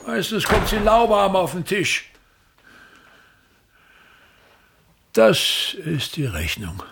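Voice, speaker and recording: An actor playing a pompous, domineering old theatre patriarch in a radio play, complaining theatrically in a raspy voice and repeating his phrases. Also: high-pitched, wildly swooping pitch, measured pace, fairly bright tone, a little hiss or hum, full character voice. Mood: indignant, lamenting. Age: older. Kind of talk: stage-like radio play acting.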